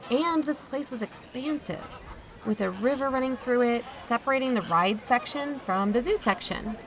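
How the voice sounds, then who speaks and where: A woman's voice: a little high, moderate pace, lightly acted, low-quality recording. A woman playing an uneasy, wry adult chaperone who narrates her own account in a confiding way for an audio drama. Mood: wry, nostalgic. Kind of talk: first-person scripted narration.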